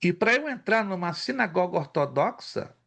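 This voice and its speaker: A man's voice: high voice, normal speed, gravelly. A male theology teacher in a casual class conversation, telling stories with animation and listing facts. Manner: storytelling, animated, lists facts.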